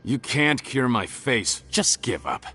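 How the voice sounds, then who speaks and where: A man's voice: medium pitch, big pitch swings, slow pace, bright, forceful tone, husky, full character voice. An actor playing a cruel, scheming male criminal who sneers and mocks his captives in a video game. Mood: sneering. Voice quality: sneering, mocking laughs.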